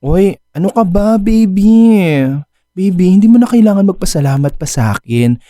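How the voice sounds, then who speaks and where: A man's voice: high voice, expressive, moderate pace, mellow, no room sound, studio quality. A man with a Filipino accent playing a flamboyant, clingy, persistent lover in a radio drama, exclamatory and campy. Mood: flirty, playful. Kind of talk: Tagalog radio drama acting and narration.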